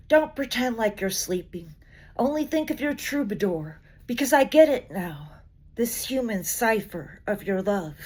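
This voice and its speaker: A woman reciting poetry dramatically, with an expressive, measured delivery and deliberate pauses between phrases. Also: on the high side, slightly rough. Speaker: poetry reader.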